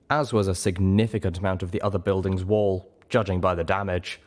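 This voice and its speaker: A man reading a story aloud as an audiobook narrator, in an expressive scripted style. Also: lowish voice. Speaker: audiobook reader.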